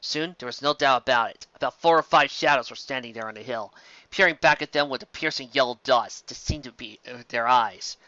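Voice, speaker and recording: Storyteller for fantasy fiction: sharp, forceful tone, dry and slightly dull home recording. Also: mid-range voice, a little fast, no echo. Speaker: storyteller reading fan fiction aloud. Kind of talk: dramatic scripted story narration.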